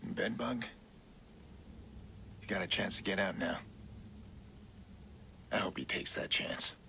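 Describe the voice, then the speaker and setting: An actor playing a terse, guarded vigilante hacker with a gravelly voice in a video game. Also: male voice, takes it slowly, bright, forceful tone, slight background noise, exaggerated voice. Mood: brooding. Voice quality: low gravelly voice. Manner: terse, understated. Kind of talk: video game cinematic acting.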